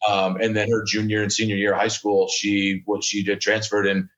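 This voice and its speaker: Thirtysomething guy rambling on a podcast, lowish voice, muffled lo-fi sound. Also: completely flat delivery, normal speed, fairly bright tone. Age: 30s. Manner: rambling, many fillers and restarts. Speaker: podcast guest. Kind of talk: casual chat.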